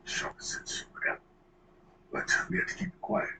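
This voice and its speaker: An actor playing a grim middle-aged man who confesses a hidden past and warns others off in a low, somber way, heard as film audio played back. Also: wildly swooping pitch, normal speed, full character voice. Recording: distant film audio with background noise.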